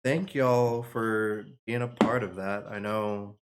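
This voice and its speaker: A man's voice: lowish voice, fairly even intonation, takes it slowly, a crowd in the background. An American man leading a script table read as writer and narrator, reading and chatting casually. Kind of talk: casual reading of screenplay action and post-read chat.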